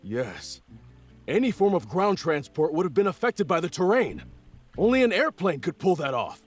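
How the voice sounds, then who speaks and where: A man's voice: high voice, sing-song, moderate pace, soft background music. A man playing a stern, skeptical military man in a video game, speaking in a clipped, practical way. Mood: grim, analytical. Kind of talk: video game cutscene acting.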